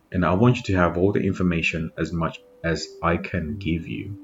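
A man from Zimbabwe hosting a podcast, talking in a relaxed, reflective way. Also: sits low. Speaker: podcast host. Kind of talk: casual talk. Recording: dull lo-fi sound with faint noise.

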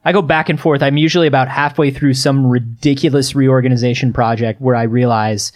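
A man's voice: medium pitch, fairly even intonation, brisk. A man co-hosting a podcast, telling stories casually and often interrupting himself as he explains. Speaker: podcast co-host. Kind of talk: casual conversational storytelling.

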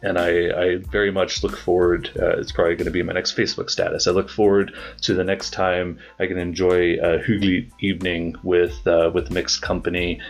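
A man hosting a casual interview who speaks haltingly, with many fillers and repeated words. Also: deep voice, level tone, vocal fry. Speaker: podcast host.

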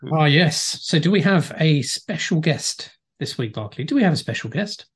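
A man's voice: fairly high pitch, lively intonation, normal speed, slightly rough. A man hosting a podcast, upbeat and friendly, interviewing his co-host. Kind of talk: casual podcast chat.

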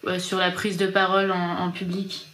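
A woman's voice: lowish voice, monotone, moderate pace, fairly bright tone, a little hiss or hum, poor audio. A woman interviewing a guest, asking probing questions in a conversational way.

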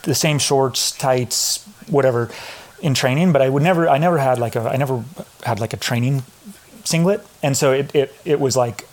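A man's voice: mid-range voice, moderate pace. A man in his thirties with a slightly nasal voice, a podcast guest talking casually, full of fillers and restarts. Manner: hesitant, rambling, many restarts and fillers. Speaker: podcast guest, former runner. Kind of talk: casual interview chat.

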